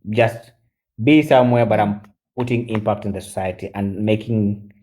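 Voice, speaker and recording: A man in his forties, chatting on a live stream as a guest, halting with many fillers and switching into Swahili. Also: fairly low pitch, fairly even intonation, a little mellow, low-quality recording. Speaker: guest mentor. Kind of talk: casual live-stream chat.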